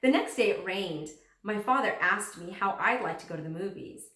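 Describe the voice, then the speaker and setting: A woman's voice: mid-range voice, lively intonation, moderate pace, pushed and bright. A woman reads a children's book aloud like a teacher, telling the story expressively and acting out the dialogue. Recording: roomy echo, distant microphone.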